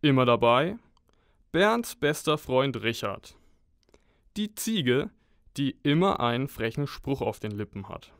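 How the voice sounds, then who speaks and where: Casting: male storyteller, mid-pitched, dry close sound, dramatic mock-heroic narration for comedy audio drama. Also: big pitch swings, takes it slowly, fairly bright tone, no echo.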